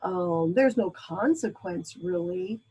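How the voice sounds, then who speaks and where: A woman's voice: medium pitch, very animated, slow, creaky, dark tone, slightly rough. A woman from Brazil teaching a class in a casual, chatty lecture style, with frequent ums and asides. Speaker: instructor.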